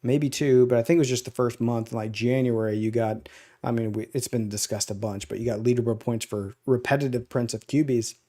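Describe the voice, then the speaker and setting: A man's voice: medium pitch, steady tone, brisk, dark tone. Regular-voiced guy rambling on a gaming podcast, lots of uhs and likes. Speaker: podcast co-host. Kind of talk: casual chat.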